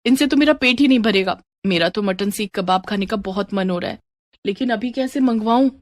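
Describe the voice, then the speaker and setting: Woman playing an expressive, eager young bride. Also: medium pitch, a little fast, dry recording, close to an everyday voice. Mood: fretful. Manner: expressive, exclaiming. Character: young bride secretly craving meat, eager and impatient. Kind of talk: Hindi moral-story dramatization.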